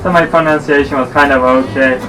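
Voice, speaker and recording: A man's voice: medium pitch, steady tone, brisk. A man, talking casually to camera as a student guest. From Japan. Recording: somewhat distant microphone, music behind.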